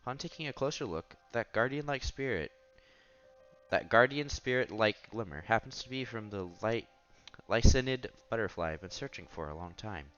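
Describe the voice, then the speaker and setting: A man's voice: lowish voice, animated, moderate pace, fairly bright tone, highs cut off, soft background music. A man reading visual novel narration aloud as a streamer, expressively, with casual asides and exclamations.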